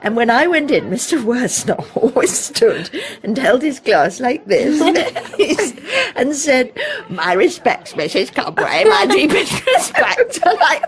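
Genteel, animated mother. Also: sits high, slow, full character voice. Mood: amused. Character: genteel chatty middle-aged mother of 1919 family. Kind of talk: period radio drama acting.

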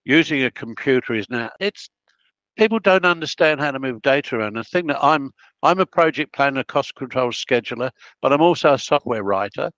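A man's voice: mid-range voice, lively intonation, a little fast, a bit bright, no room sound. Old aussie guy rambling through career stories, dry dull-sounding audio. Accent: Australian. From Australia. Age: 80s. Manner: rambling, anecdotal, self-interrupting. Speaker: guest, project planner. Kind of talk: casual interview storytelling.

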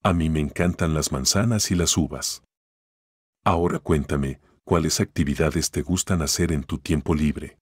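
Male actor as rugged cartoon echidna hero, low-pitched, raspy, friendly, loyal, simple scripted phrases, dry close sound. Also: moderate pace, no room sound. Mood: friendly, inviting. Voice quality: deep, husky. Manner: friendly, addressing a child listener in simple phrases. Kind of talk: scripted one-sided character phone call.

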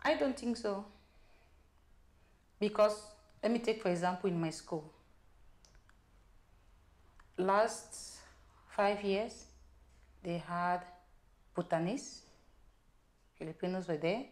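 A woman's voice: mid-range voice, slow pace, long pauses, darkish tone. A woman teacher with a Cameroonian accent giving short, firm answers in an interview. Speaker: guest teacher.

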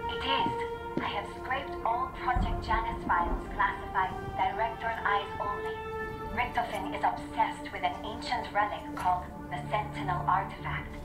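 An actress playing a serious, focused intelligence researcher in a video game, earnest and explanatory. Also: female voice, mid-range voice, normal speed, close to an everyday voice. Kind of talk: video game cutscene acting. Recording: echoey, loud music behind.

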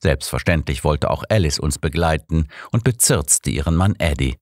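A man's voice: very deep voice, expressive, moderate pace, no echo, studio quality. A man narrating a story in the first person as a scripted audiobook reading.

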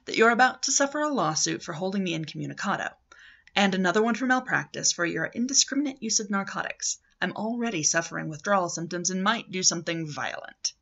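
A woman's voice: fairly low pitch, animated, fast, vocal fry, a bit bright, lo-fi sound, heavily acted. A woman reading an audiobook as a defiant, sardonic male patient, curt and commanding. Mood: cool, commanding. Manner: curt, assertive.

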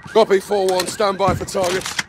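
An actor playing a decisive veteran British special forces captain giving clipped, authoritative orders in a video game. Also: male voice, high voice, flat delivery, normal speed, very noisy, natural voice. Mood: brisk command. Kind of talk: video game military drama.

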